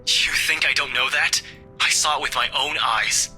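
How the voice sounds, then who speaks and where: A man's voice: mid-range voice, normal speed, sharp tone, faint music behind, rough recording. An actor in a visual novel playing a stubborn, defensive young male detective, curt and indignant under strain. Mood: defensive irritation.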